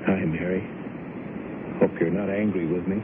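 Actor as low, subdued radio drama husband. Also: male voice, flat delivery, normal speed, close to an everyday voice. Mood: sheepish, groggy. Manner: subdued, hesitant. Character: apologetic, bewildered young husband recovering from amnesia. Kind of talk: 1970s radio drama acting. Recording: muffled, noisy 1970s radio broadcast.

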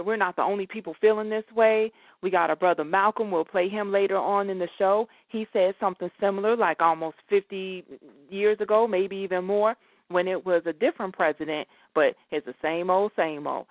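A woman's voice: medium pitch, fairly quick, no room sound. Woman hosting radio over thin phone line. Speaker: internet radio talk show host.

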